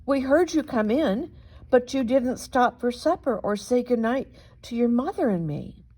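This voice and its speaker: A woman voicing a gentle, caring father rabbit in a storybook, speaking tenderly and soothingly. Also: a little high, lively intonation, unhurried, breathy edge, voice pushed up. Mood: concerned.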